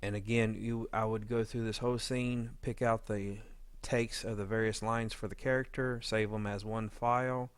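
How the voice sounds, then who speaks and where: A man giving an informal editing tutorial, talking haltingly as he thinks aloud while working. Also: fairly low pitch, little pitch movement, smooth, no room sound. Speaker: audio drama editor giving a tutorial. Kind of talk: informal software walkthrough.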